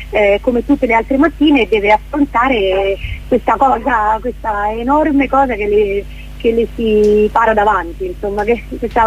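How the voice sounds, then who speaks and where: A woman with a rather high, husky voice talks as a guest in a radio interview. Her delivery is casual and conversational. The recording is slightly dull. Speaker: theatre performer, interview guest.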